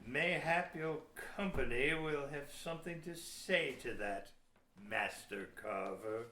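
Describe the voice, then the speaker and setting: Guy acting a crafty gruff mayflower-era sea captain, radio play style, forceful and slow, roomy echo. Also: medium pitch, expressive, slight background noise. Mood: grave, insistent. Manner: emphatic, declamatory, archaic speech. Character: cunning, gruff English ship's captain of the 1600s. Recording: roomy, echoey audio drama recording.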